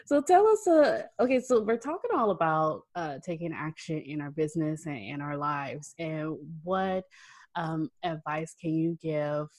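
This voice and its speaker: A woman hosts a casual podcast interview with bubbly enthusiasm and frequent laughter. Her voice sits notably low for a woman. It is recorded dry and close, with no room sound. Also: lively intonation, a little slow, a little mellow, dry recording. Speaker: podcast host.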